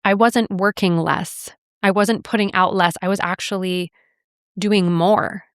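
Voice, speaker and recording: A woman's voice: on the low side, clean tone, no room sound, clean studio sound. A young adult woman hosting a podcast, telling a personal story in an earnest, reflective way with light laughs. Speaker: podcast host.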